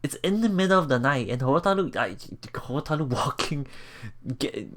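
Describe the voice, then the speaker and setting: A let's player reading a visual novel aloud in a halting way, with emotionally invested asides. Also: medium pitch, lively intonation, fairly bright tone. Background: game music.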